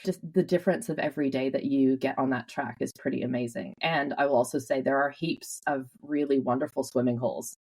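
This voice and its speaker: A Canadian woman telling stories with lively energy as an interview guest, laughing often. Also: a bass voice, brisk, few pauses, rough recording. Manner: animated storyteller, laughs often. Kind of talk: casual interview.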